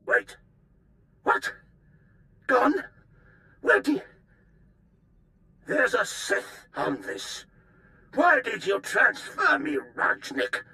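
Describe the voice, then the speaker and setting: An actor playing an unhinged, incoherent old military officer in a video game, ranting with paranoia and erratic bursts of shouting. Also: male voice, very high voice, creaky, heavily acted. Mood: confused, suspicious. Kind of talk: theatrical video game voice acting.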